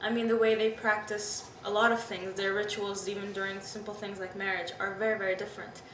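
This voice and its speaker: Woman, twenties, from Pakistan, candid and reflective, lots of fillers, faraway mic, steady noise behind. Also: mid-range voice, a little fast. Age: 20s. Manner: candid, reflective, many fillers like "you know" and "I mean". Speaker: interviewee. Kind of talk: personal interview recollection. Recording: roomy, distant mic, background noise.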